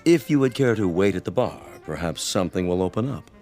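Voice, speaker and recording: Male, radio-play head waiter: low, very inflected, formal, fawning. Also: expressive, brisk, a little mellow, soft background music. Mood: politely servile. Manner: formal, smooth-mannered, servile. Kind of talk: radio drama acting.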